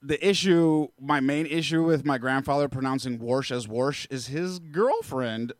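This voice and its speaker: A man's voice: medium pitch, unhurried, dry recording, studio quality. A man hosting a podcast, chatting casually and joking while acting out quotes. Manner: jokey, acts out quotes. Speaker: podcast host. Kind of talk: casual chat.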